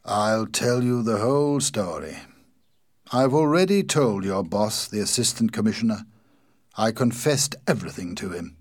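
A male reader acts a weary, guilty husband in an audiobook. His voice is husky and resigned, tired in manner, though the intonation still moves around. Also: on the low side, animated, normal speed. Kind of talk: audiobook character dialogue.

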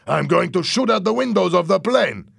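A man playing an angry, defiant gunman in a story reading, shouting belligerent threats and demands. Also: mid-range voice, a little slow, vocal fry, breathy edge, dry recording, studio quality, voice pushed up, heavily acted. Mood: furious, defiant.

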